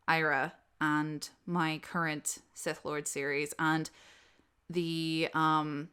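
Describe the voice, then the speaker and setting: A woman's voice: deep voice, sharp tone, smooth. A young woman with a Northern Irish accent chatting casually and unscripted as she answers questions, candid and blunt in an informal way. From Northern Ireland. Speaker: audio roleplay creator answering subscriber questions.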